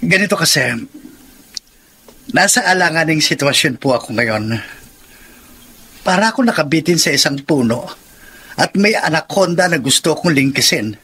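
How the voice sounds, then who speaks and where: A cornered, defensive Filipino husband in a radio drama. His voice is highish and forceful, with a rough, husky edge. He sounds like someone burdened by trouble. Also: animated, moderate pace, pauses often, heavily acted. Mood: anxious, evasive. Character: troubled, defensive married man cornered by debts.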